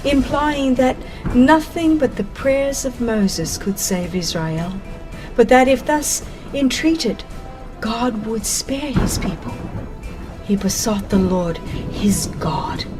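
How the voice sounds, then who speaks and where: A woman reading scripted religious narration in a solemn, measured and expressive manner. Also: fairly high pitch. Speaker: audiobook narrator. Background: background music.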